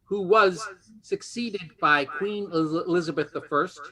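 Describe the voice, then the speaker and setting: A man's voice: sits high, measured pace, sharp tone. A man hosting a quiz game show, reading out questions and bantering playfully with the players.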